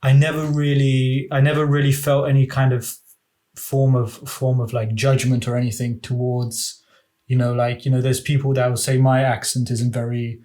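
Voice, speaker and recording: Young male guest, loose rambling storyteller, heavy on fillers, mid-pitched. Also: level tone, normal speed, mellow. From England. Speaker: podcast guest. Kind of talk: casual chat.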